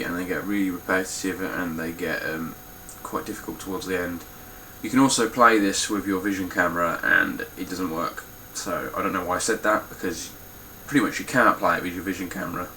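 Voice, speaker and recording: A man's voice: low-pitched, bright, forceful tone, slight background noise, poor audio. A man with a stuffy, nasal voice giving a casual, blunt spoken review of his game collection, with frequent ums and some swearing.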